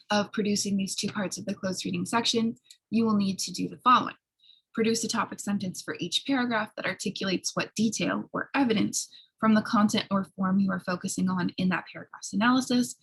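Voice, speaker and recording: A woman who sounds a little hoarse, giving an explanatory college writing lecture in an instructional way, often checking in with short tag questions. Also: mid-range voice, a little fast, a bit bright, rough recording. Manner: instructional, frequent checking tags like "right?". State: slightly hoarse.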